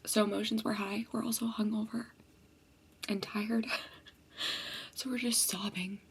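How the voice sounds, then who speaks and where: A woman's voice: medium pitch, level tone, measured pace, breathy edge. A young woman vlogger whispering a casual, chatty storytime to her viewers. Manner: chatty, many fillers.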